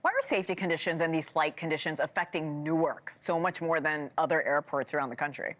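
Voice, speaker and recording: A female news anchor with a slightly low voice, conducting a television interview. Her delivery is polished and direct as she puts questions to a guest. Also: animated, fast, fairly bright tone.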